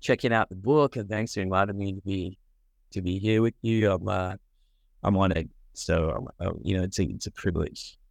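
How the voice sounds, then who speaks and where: A man from Sri Lanka, a medical doctor, answering interview questions earnestly, pausing often with fillers. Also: low-pitched, creaky, no echo. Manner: earnest, with frequent pauses and fillers.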